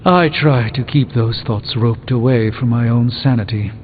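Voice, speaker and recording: An actor playing an erudite, pompous sorcerer with a dry, articulate wit in a video game. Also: male voice, medium pitch, a little slow, a little hiss or hum, close to an everyday voice. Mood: measured, wry. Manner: pompous, dryly witty, articulate. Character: erudite, haughty, wry male sorcerer. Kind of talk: video game character dialogue. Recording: muffled phone-like game audio under music.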